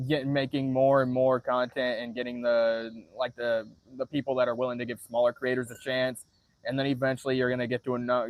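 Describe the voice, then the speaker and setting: Male livestreamer, average pitch, monotone delivery, halting with repeated phrase starts, casual and sweary. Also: no echo, highs cut off. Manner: frequent swearing. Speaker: livestreamer answering viewer chat.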